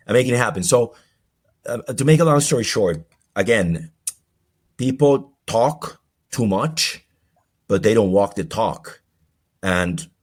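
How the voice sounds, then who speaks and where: Male podcast guest, forties, deep voice, halting personal storyteller. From Colombia. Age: 40s.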